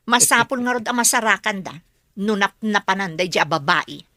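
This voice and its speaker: A scheming bossy filipino lady, ilocano accent, sharp voice, drama serial, dry sound. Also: medium pitch, animated, unhurried, no room sound. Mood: gloating. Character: scheming greedy woman plotting to seize an inheritance. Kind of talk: melodramatic TV drama acting.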